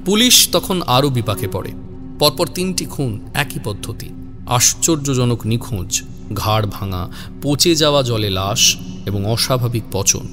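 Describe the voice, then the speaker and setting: A male narrator tells a horror story in a dramatic, scripted style. His voice sits at a medium pitch, with prominent music playing behind it. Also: expressive, a little slow.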